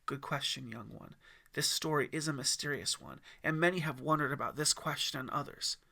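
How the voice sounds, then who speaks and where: A man voicing a mysterious, kindly mountain man in an audiobook, gently instructive and measured. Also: a little high, gravelly, full character voice. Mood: patient.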